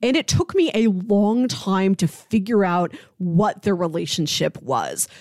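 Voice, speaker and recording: A woman's voice: medium pitch, a little slow, no room sound, studio quality. A woman co-hosting a casual TV review chat, talking conversationally and peppering her sentences with "like". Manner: animated, conversational, frequent "like". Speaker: podcast co-host.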